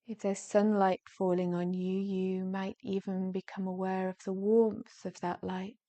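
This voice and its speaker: Female meditation guide, mid-pitched and very unhurried, soft and soothing, breathy phrase endings, relaxed warm tone. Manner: soothing, gentle, drawn-out phrases with long pauses. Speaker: yoga nidra meditation guide.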